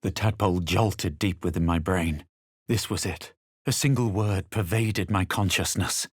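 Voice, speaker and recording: An actor playing a proud, self-assured adventurer in a fantasy game, with measured, theatrical delivery and a husky voice. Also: male voice, low voice, no echo, clean studio sound. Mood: brooding, grave. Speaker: player character voice actor. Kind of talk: dramatic scripted fantasy game lines.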